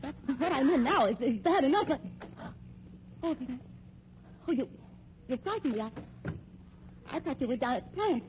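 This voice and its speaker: High-voiced, discontented gold-digger wife. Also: female voice, animated, normal speed, long pauses. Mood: frightened. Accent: American. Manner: complaining, dramatic. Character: discontented gold-digging young wife, defiant but frightened. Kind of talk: vintage American radio drama acting. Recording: muffled, noisy old radio recording.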